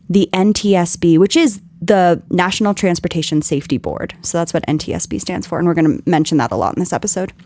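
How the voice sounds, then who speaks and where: A woman hosting a podcast, her voice scratchy and strained from yelling, explaining things in an earnest, scripted way. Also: fairly low pitch, no echo, studio quality. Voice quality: scratchy, husky. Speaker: podcast host.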